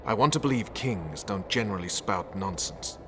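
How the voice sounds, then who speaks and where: A man's voice: fairly low pitch, expressive, moderate pace, a bit bright, a little hiss or hum. A male actor playing a hard, vengeful special forces commander in a video game, speaking in a stern, blunt, resolute manner. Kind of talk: dramatic video game acting.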